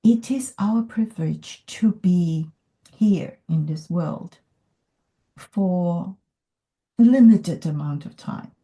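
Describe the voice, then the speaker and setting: Slow, thoughtful low-voiced woman. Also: dark tone, low-quality recording. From Hong Kong. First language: not English. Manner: slow, thoughtful, many pauses and fillers. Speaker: podcast guest. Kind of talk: reflective conversation.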